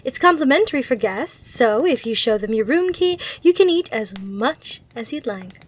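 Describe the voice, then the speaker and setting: A woman's voice: fairly high pitch, lively intonation, normal speed. A woman playing a gracious, eager demon hotel receptionist in an ASMR roleplay, with polite, reassuring customer-service patter. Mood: cheerful, helpful.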